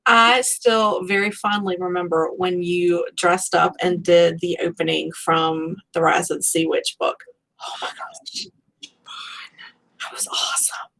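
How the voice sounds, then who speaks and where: A woman's voice: on the low side, flat delivery, a little slow, a bit bright, rough recording. Enthusiastic woman hosting a casual livestream. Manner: enthusiastic, friendly. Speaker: livestream host. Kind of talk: casual book chat, relaying viewer questions.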